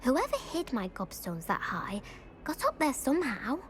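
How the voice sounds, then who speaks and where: A woman's voice: sits high, huge pitch swings, normal speed, fairly bright tone, slight background noise, heavily acted. An actress playing a self-pitying, indignant British schoolgirl in a video game, prim and plaintive in a dramatic way. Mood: pleading, huffy. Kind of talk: video game cutscene acting.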